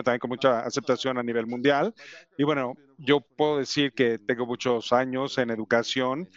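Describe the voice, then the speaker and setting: English-accented guy giving a talk to educators, lots of ums and restarts, kinda muffled recording. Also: mid-range voice. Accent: English speaker's Spanish. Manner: halting, many fillers and restarts. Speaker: company representative presenting to educators. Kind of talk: conference presentation.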